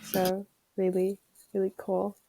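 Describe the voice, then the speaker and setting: Slow-talking gravelly young woman. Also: medium pitch, completely flat delivery, poor audio. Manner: soft-spoken, giggly. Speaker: podcast co-host. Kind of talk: casual video-call interview. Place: room at home, indoors. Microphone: video call with earbud mic.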